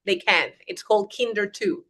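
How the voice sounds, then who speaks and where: A woman's voice: medium pitch, moderate pace, sharp tone. A young woman from Colombia, an English teacher, talking through her career in a casual interview over a video call, with frequent "eh" fillers and restarts. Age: young adult. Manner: chatty, lots of restarts and "eh" fillers.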